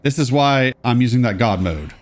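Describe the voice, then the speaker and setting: A man's voice: mid-range voice, lively intonation, moderate pace, a little hiss or hum. A congested young man giving casual, sarcastic gameplay commentary as a let's play host.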